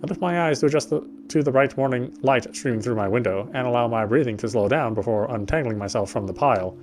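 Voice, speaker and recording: A man's voice: medium pitch, fast. A man gives a dramatic read-aloud of a horror tale with music playing behind him. He narrates in the first person, occasionally tripping on a phrase and going back over it. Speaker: gameplay streamer reading a visual novel aloud. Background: game music.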